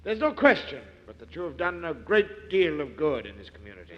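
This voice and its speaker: A solemn courtroom judge in 1940s radio style, formal and declamatory. The voice is high and forceful, ringing with long reverb. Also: male voice, big pitch swings, fairly quick. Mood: stern, solemn. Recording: hollow reverberant old radio recording, dull.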